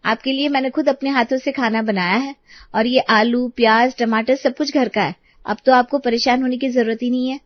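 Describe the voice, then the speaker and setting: A woman voices a young daughter-in-law from an animated story. The voice is high, eager and sweetly insistent. The recording is dry and slightly dull. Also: moderate pace, dry recording, lightly acted. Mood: sweetly proud. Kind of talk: animated moral story dialogue.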